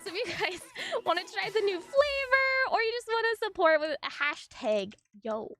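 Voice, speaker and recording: A woman's voice: extremely high pitch, very animated, normal speed, fairly bright tone, no echo, soft background music. A woman streamer chatting bubbly with her live audience, greeting viewers by name and announcing a giveaway.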